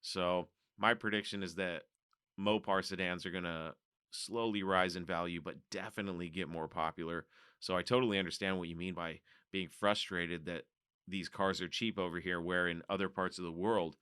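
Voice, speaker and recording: A man's voice: low voice, fairly even intonation, moderate pace, bright, forceful tone, clear, resonant tone, no room sound, studio quality. A man hosting a car podcast, reading out listener letters and chatting about them in a casual, laughing way. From North America. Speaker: podcast host.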